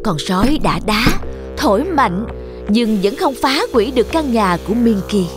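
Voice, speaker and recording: Female narrator for a children's fairy tale, slightly high voice, music playing behind. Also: lively intonation, moderate pace. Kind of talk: scripted children's story narration.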